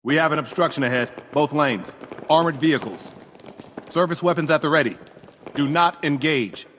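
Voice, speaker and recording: An actor playing an alert, by-the-book armed guard in a video game, brisk and official. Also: male voice, fairly high pitch, fairly bright tone, big-room sound, a little hiss or hum, close to an everyday voice. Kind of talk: dramatic video game acting.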